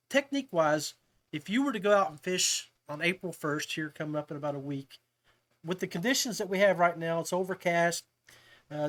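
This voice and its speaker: A man's voice: a little high, a bit bright. A man hosting a casual fishing podcast, talking to guests in a chummy way, like old buddies. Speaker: podcast host.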